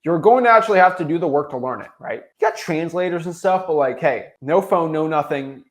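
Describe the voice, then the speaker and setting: Animated, higher-voiced young American man. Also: brisk. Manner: emphatic, repetitive, slangy and informal. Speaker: online business coach. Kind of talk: unscripted motivational talk.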